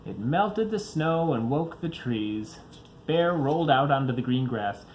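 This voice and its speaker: A man's voice: mid-range voice, animated, measured pace, a little mellow, a little hiss or hum, poor audio. A father reading a children's picture book aloud, expressively, varying his pace for young listeners.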